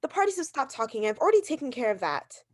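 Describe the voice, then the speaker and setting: A woman's voice: high-pitched, very animated, quick pace. A woman playing a neurotic, imperious, anxious bedridden wife in a radio drama, insistent and fretful on the phone. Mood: demanding. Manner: insistent, fretful, stammering when challenged. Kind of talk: 1940s radio drama acting.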